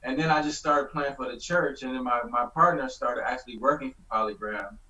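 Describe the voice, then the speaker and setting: A man from Michigan, a musician guest telling his story in a rambling, halting way over a video call. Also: medium pitch, pushed and bright. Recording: distant, dull video call audio.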